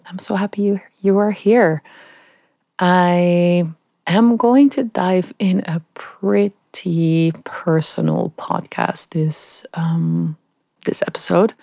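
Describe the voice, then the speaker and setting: A young woman hosting her own podcast, talking candidly and haltingly while nasal and stuffy from a flu. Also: fairly low pitch, vocal fry, dark tone, no echo, clean studio sound. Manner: candid, halting, with many pauses and "yeah"s. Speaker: podcast host. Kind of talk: casual personal podcast monologue.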